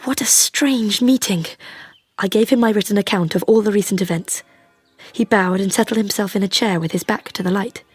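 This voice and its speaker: An actress playing a composed, devoted young Victorian wife, speaking precisely and formally in a radio drama. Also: female voice, mid-range voice, breathy edge, no echo, faint music behind, lightly acted. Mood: reflective. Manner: measured, precise, softly formal.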